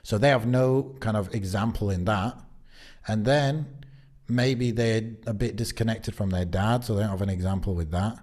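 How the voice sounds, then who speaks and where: Lowish voiced guy cohosting a podcast, reflective, measured. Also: a little mellow. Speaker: podcast co-host. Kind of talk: casual podcast chat.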